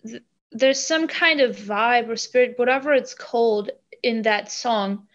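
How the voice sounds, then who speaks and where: A woman, a singer talking earnestly in an interview, often pausing and restarting. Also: fairly high pitch, muffled recording. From Armenia. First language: Armenian. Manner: earnest, halting with restarts and ums. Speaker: singer guest. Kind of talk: casual interview chat.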